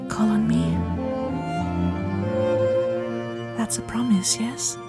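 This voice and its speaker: A woman playing a shy, starry-eyed young Gilded Age heiress in an intimate romantic ASMR roleplay, breathy and often whispering, with genteel, hesitant manners. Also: fairly high pitch. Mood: tender, pleased. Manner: genteel, old-fashioned politeness, hesitant pauses.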